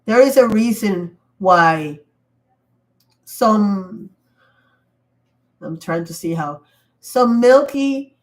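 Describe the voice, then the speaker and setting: A woman talks on her livestream while sick, her voice giving out and interrupted by coughs. She rambles and restarts, pressing her points with emphasis. Her pitch sits a little low. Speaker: livestream host. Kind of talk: casual livestream commentary. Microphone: computer mic.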